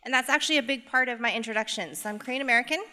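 A woman's voice: a little high, pushed and bright. A middle-aged woman from Idaho giving an earnest, reflective talk about her own path to a live audience. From Boise, Idaho, US. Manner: earnest, reflective, measured storytelling. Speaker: equity coach, guest speaker. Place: live event hall. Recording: echoey hall.

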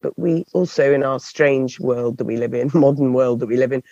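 A woman with a croaky, hoarse voice, answering interview questions thoughtfully and often repeating words as she searches for phrasing. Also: extremely low pitch, steady tone, moderate pace, mellow, no echo, lo-fi sound. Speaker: podcast guest.

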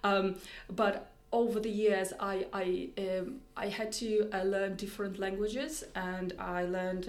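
A woman's voice: fairly low pitch, a little mellow, echoey room. A woman with a Russian accent, a tech executive guest on a podcast, speaking thoughtfully with many restarts and repeated words. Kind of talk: podcast interview.

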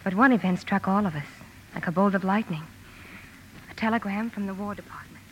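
A woman acting a wistful young narrator in a 1950s radio drama, reflective and measured with dramatic pauses. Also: medium pitch, highs cut off, slight background noise, close to an everyday voice. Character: wistful young woman recalling her family's past. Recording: vintage radio recording with music.

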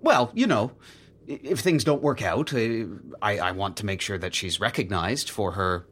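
An actor playing a fussy, officious supervisor in a sci-fi comedy audio drama, hesitant and stammering with bureaucratic politeness. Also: male voice, fairly low pitch, lively intonation, normal speed, a bit bright, slight background noise. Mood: politely unbothered.

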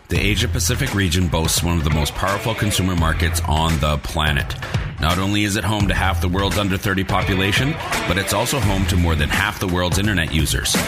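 A man hosting a business podcast, reading a measured scripted intro and then asking loose questions with fillers. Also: low voice. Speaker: podcast host.

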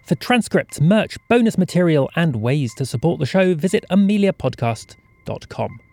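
A man's voice: on the high side, sing-song, moderate pace, a little mellow, no echo, faint music behind. A man reading scripted show credits as an announcer.

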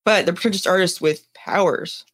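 A woman's voice: on the low side, moderate pace. A woman co-hosting a TV review podcast, chatting casually. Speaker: podcast co-host reviewing TV series. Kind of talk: casual chat.

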